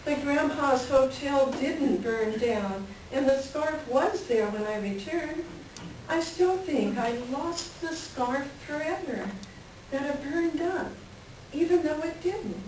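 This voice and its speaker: Echoey distant recording, actress as wistful married woman, higher-pitched, reflective, melancholy, stage play reading. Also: expressive, slow pace, a little mellow. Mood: wistful reminiscence. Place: library reading before a microphone at some distance. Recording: very echoey room, distant mic, faint noise.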